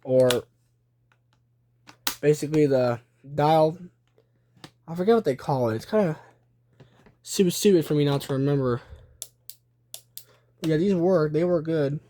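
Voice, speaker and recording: A man's voice: on the high side, a little slow, long pauses, warm tone. A man giving casual show-and-tell commentary, thinking aloud in a hesitant way. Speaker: video creator showing a collection.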